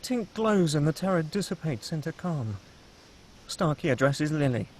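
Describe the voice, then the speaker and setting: A man's voice: a little high, lively intonation, moderate pace, a little mellow, slight background noise. A man reading screenplay action and scene headings as the narrator of a table read.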